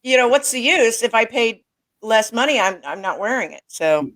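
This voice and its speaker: A woman hosts a casual interview with a fairly high voice. She talks with eager enthusiasm, restarting and repeating herself as she goes. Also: animated, moderate pace, a bit bright. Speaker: interview host. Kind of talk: casual video call interview.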